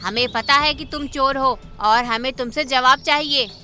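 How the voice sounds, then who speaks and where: A woman's voice: high voice, unhurried, pushed and bright. A woman voicing a brave, clever bird in a cartoon, firm, earnest and commanding. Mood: stern questioning.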